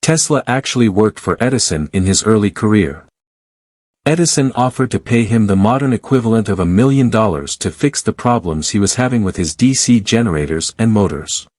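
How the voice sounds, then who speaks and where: A man with a fairly low voice reads a written passage aloud. The recording is very dry, without room sound. It is delivered as a scripted model reading for study. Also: animated, fairly quick, darkish tone, no room sound. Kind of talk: read-aloud of exam practice passage.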